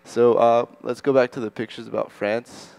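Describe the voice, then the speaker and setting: A man's voice: mid-range voice, steady tone, moderate pace, creaky, darkish tone, rough recording. A young man casually moderating a student panel on an auditorium stage, upbeat and full of fillers. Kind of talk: moderating a school chapel panel.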